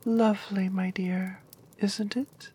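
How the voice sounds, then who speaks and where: A woman voicing a wicked queen disguised as an old woman, speaking in a squeaky, whispered voice. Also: flat delivery, takes it slowly, warm tone, breathy edge. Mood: coaxing.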